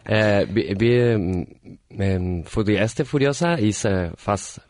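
A man in his thirties from Argentina, a guest speaking halting, with many fillers and self-corrections in a casual interview. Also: fairly low pitch, animated, darkish tone, no room sound, studio quality. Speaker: guest, party organizer.

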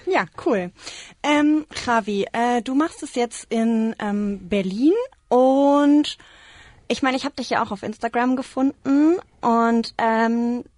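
A woman's voice: fairly high pitch, takes it slowly, dry recording. Chatty woman host, dry sound. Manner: relaxed, chatty questioning with fillers. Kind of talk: casual interview.

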